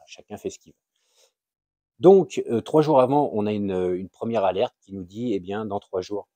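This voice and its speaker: A man giving an informal, unscripted explainer as a video host, halting and often searching for words. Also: sits low, dark tone, no room sound. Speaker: YouTube channel host sharing a tip.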